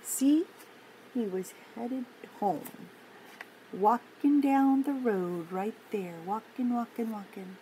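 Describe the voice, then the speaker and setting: An older woman reading a picture book aloud to young children, gently and encouragingly, with chatty asides and questions to the listener. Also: fairly high pitch, slow, low-quality recording. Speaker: children's story reader and host. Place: indoor home office. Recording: clear background noise, room ambience.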